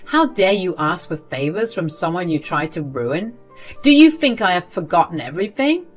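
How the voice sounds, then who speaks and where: A furious, defiant young woman who refuses to be manipulated, as a woman plays her. Her voice is sharp and loud, with faint music behind it. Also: fairly low pitch, very animated, normal speed. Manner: sharp, angry.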